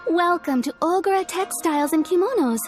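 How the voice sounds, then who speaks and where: A woman's voice: very high voice, a little fast, soft background music. A woman voicing a courteous, eager-to-please kimono shopkeeper in a video game, with deferential politeness. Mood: welcoming. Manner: deferential, customer-service politeness.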